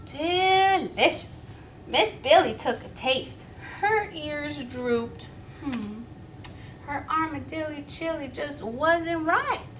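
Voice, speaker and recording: A woman's voice: high-pitched, sharp tone, a little hiss or hum. A woman reading a children's story aloud expressively, inviting young listeners to join in. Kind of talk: animated children's read-aloud.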